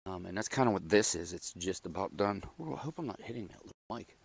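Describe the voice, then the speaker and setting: A man with a deep, gravelly voice that is a bit raspy after a loud night out. He chats in a loose, self-deprecating way, wandering from thought to thought. He is recorded on a lapel mic outdoors in a backyard garden. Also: lively intonation, quick pace. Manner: rambling, self-deprecating, chatty. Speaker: gardening vlogger. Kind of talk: casual chat while doing garden chores.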